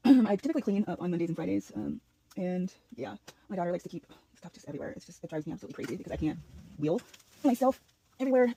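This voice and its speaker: A woman in her thirties with a hoarse voice, rambling casually through a day-in-the-life vlog at home, with many ums and restarts. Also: on the low side, mellow, a little hiss or hum, rough recording. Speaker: vlogger. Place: at home, kitchen and house.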